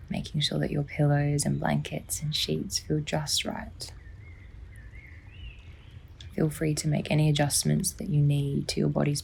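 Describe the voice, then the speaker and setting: A woman softly and soothingly narrating a guided sleep meditation, unhurried, with long pauses and a breathy, near-whispering delivery. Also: deep voice. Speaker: psychologist guiding a sleep meditation.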